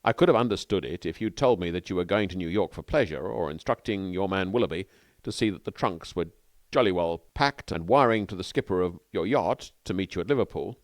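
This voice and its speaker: A man's voice: low voice, expressive, brisk, no echo. A man voicing a melancholy young New York newspaperman who talks in a wordy, dryly ironic way. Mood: cautious, dry.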